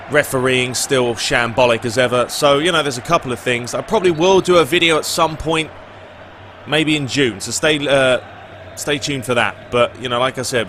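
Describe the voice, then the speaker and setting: A man's voice: mid-range voice, noisy background. A man hosting a gaming video, chatting informally and giving his opinions as he answers questions in a casual commentary style.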